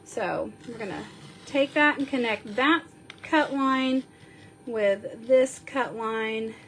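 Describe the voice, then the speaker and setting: Woman doing a slow craft tutorial at her table, bit sniffly, a touch high voice. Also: a bit bright, a little hiss or hum. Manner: slow, chatty, talks while working, counting measurements aloud. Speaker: card-making instructor.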